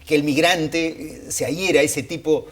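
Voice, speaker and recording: Man, slightly high voice, political panelist, deliberate explaining, emphatic repetition. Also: fairly even intonation. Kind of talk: unscripted political analysis on a panel show.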